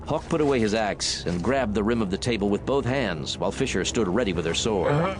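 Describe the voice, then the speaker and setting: Male narrator, low register, scripted dramatic audiobook storytelling, audible ambience behind. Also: lively intonation, a little fast, background noise.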